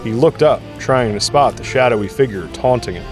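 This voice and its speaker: A man's voice: medium pitch, fairly quick. A man reading scripted dramatic narration for an audio drama. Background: music.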